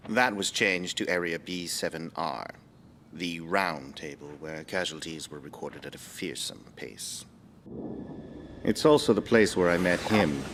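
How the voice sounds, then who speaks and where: An actor playing a proud, composed former air force commander, giving a measured, matter-of-fact documentary interview. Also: male voice, low-pitched, fairly bright tone, slight background noise.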